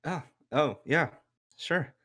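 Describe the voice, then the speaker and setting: A man's voice: fairly high pitch, slow, bright, forceful tone, full character voice. A man playing a slangy, enthusiastic, goofy teenage boy, the loyal best friend, at an amateur script table read. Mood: groggy.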